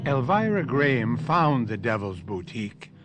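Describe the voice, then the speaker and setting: A man hosting and narrating a radio mystery drama in a deliberate, dramatic scripted style. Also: medium pitch, very animated, loud music behind the voice.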